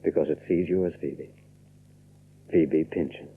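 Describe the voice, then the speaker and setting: An actor playing an earnest, grave young gentleman with a tender side in a dramatic radio play. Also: very deep voice, steady tone, a little slow, very noisy. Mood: tender, solemn. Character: earnest young gentleman revealing a long-hidden family secret, tender. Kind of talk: old radio drama acting. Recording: muffled, narrow old radio recording.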